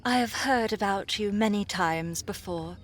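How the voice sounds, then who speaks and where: A woman's voice: medium pitch, measured pace, a little hiss or hum, lightly acted. A woman playing a gracious, modest fantasy princess in an audiobook, speaking sweetly and formally. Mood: warm, formal. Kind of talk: audiobook character acting.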